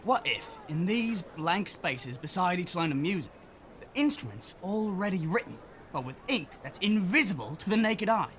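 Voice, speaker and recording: A man's voice: high-pitched, big pitch swings, moderate pace, a bit bright, slight background noise. A man playing a bookish, inventive street boy in an audio drama, hesitant as he thinks aloud. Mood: musing, eager. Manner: hesitant, musing.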